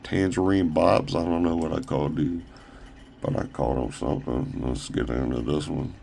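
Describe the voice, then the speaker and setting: A man casually showing off his own shoe designs on a phone camera, rambling with fillers and restarts, his voice a little raspy from talking a long time. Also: extremely low pitch, fairly even intonation, warm tone, a little hiss or hum. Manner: halting, loose, full of fillers and restarts. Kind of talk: casual rambling product showcase.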